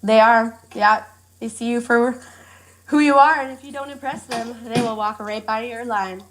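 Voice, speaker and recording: A woman chatting as a podcast guest, her voice set a little higher than most. She talks candidly and with good humor, leaning on easy fillers as she goes. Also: moderate pace, fairly bright tone. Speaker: guest, monster truck driver. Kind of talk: casual interview chat.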